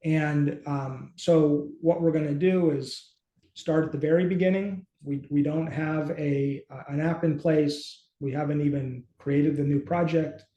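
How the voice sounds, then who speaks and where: Echoey, bright-voiced man thinking aloud. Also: fairly high pitch, steady tone, measured pace, dull audio. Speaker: host and app company team member. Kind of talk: casual screen-share walkthrough. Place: small indoor room, video call. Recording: roomy, echoey home room.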